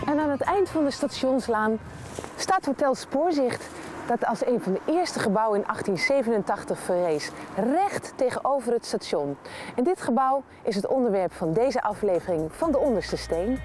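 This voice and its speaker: A woman's voice: a little high, expressive, moderate pace, darkish tone, slight background noise. A middle-aged woman working as a TV presenter, presenting a regional documentary and doing interviews.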